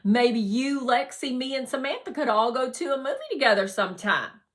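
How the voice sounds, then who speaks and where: An upbeat schoolboy from a children's novel, acted out by a woman reader. His voice is pitched up, with an eager, slightly tentative delivery. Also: fairly quick, a bit bright. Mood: hopeful. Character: upbeat schoolboy trying to cheer a friend.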